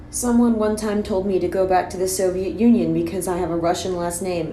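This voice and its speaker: A woman's voice: low-pitched, a little mellow, roomy sound, a little hiss or hum, low-quality recording. An American woman ranting to camera in a sarcastic, self-mocking way. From United States. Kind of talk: casual rant to camera.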